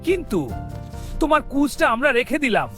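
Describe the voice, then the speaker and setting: A man's voice: very high voice, big pitch swings, normal speed, sharp tone, soft background music, exaggerated voice. A man voicing a bossy, commanding ghost of a haunted house in a folk tale.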